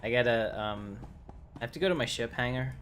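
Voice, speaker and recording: A man's voice: medium pitch, brisk, a bit bright. A man giving casual live commentary as he streams a video game. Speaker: game livestreamer. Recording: noisy livestream with game audio.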